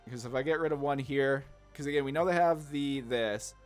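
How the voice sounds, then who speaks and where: A man giving casual gameplay commentary, rambling informally as he thinks through each move out loud. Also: mid-range voice, fairly quick, faint music behind. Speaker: gaming content creator, player.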